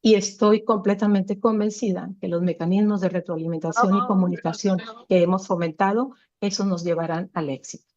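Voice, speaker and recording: A woman's voice: mid-range voice, steady tone, fairly quick, dull audio, a crowd in the background. A woman from Mexico giving an earnest, formal conference presentation.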